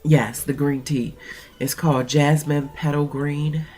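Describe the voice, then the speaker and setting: A woman's voice: deep voice, little pitch movement, a little slow, dark tone, a little hiss or hum. A woman mentoring coach with a stuffy nose, talking in a chatty, rambling way with many fillers and reading aloud from her own notes. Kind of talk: casual talk and reading aloud.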